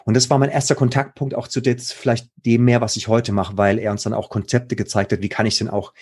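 A man's voice: mid-range voice, flat delivery, brisk, darkish tone, slightly rough. A man, a mental coach talking casually and enthusiastically in an interview. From Baden, Germany. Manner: enthusiastic, rambling, slangy.

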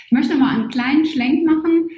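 A podcast host asking a guest questions calmly, with some hesitations. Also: high-pitched, fairly quick. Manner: calm, affirming, asks with hesitations. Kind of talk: conversational interview. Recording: echoey room, slightly dull sound.

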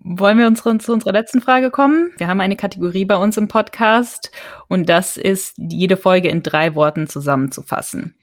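A woman's voice: medium pitch, fairly quick, no room sound, studio quality. A woman co-hosting a podcast, explaining a segment in a friendly, casual way. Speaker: podcast co-host. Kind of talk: casual interview chat.

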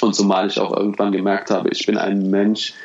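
Low-voiced man, hesitant and reflective. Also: completely flat delivery, brisk, a little mellow. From Germany. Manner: hesitant, many fillers and restarts. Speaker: interview guest, restaurant owner. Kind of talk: casual interview. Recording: slightly dull, faint noise.

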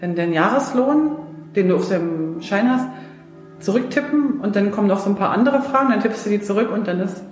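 A woman's voice: mid-range voice, animated, a little fast, reverberant, soft background music. A woman from East Germany hosting a casual interview, with a teasing, playful way of talking. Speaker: podcast host.

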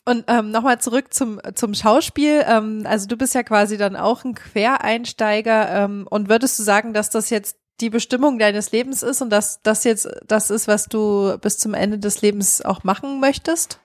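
A woman's voice: medium pitch, steady tone, moderate pace, hardly any pauses. A woman hosting a podcast, asking casual interview questions with many ums. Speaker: podcast host.

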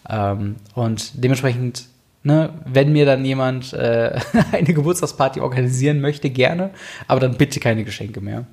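A man's voice: mid-range voice, moderate pace. Rambling young man, casual podcast chat. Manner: relaxed, rambling, lots of fillers. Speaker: podcast co-host.

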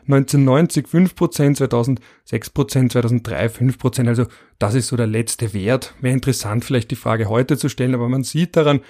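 Musing podcast host reading statistics. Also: male voice, medium pitch, a little fast, few pauses, dark tone. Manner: reads out statistics, musing and wry. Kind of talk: conversational podcast discussion.

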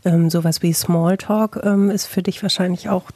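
A woman podcast host from western Germany talks in a fairly low, husky voice. Her tone is dark and relaxed as she chats and asks questions casually. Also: normal speed, no room sound. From East Westphalia, Germany. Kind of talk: casual conversational interview.

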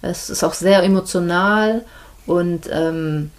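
Thoughtful woman with a low voice. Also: slow. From Germany, Hamburg. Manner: thoughtful, many hesitations. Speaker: midwife, podcast guest. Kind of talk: casual interview chat.

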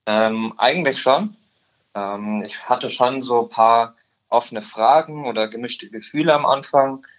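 Male, 19, from Upper Bavaria, halting podcast guest, slightly lo-fi recording. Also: mid-range voice. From Upper Bavaria, Germany. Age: teens. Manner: hesitant, many "ähm" fillers. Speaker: guest, insurance trainee. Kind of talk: podcast interview.

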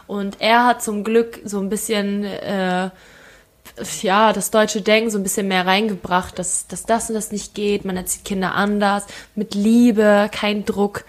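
A woman's voice: medium pitch, little pitch movement, unhurried. A woman from Thailand, a guest in a home kitchen, telling stories in a frank, chatty way with fillers. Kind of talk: casual conversation.

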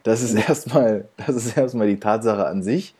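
A man's voice: on the low side, moderate pace, warm tone, husky. A man hosting a podcast, chatting casually, laughing often and restarting his sentences. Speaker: podcast host. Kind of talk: casual interview chat.

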